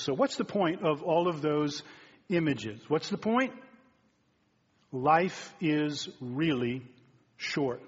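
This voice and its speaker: A man's voice: a little high, breathy edge. A man in his fifties preaching a sermon, talking in an earnest, conversational way and turning now and then to speak straight to the congregation. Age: 50s. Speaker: pastor. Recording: dull, muffled sound with long hall reverb.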